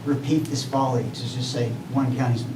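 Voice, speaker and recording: A man's voice: medium pitch, fairly even intonation. Earnest man speaking at a town meeting. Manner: urgent, earnest, halting with fillers. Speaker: public commenter. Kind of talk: public comment at a city meeting. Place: council meeting room. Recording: echoey hall, distant mic, noisy.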